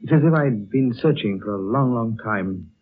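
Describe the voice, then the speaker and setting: An actor playing a composed, wistful gentleman traveler, measured and reflective, in 1930s radio drama style. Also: mid-range voice, animated, breathy edge. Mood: earnest. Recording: thin, narrow old 1930s radio recording.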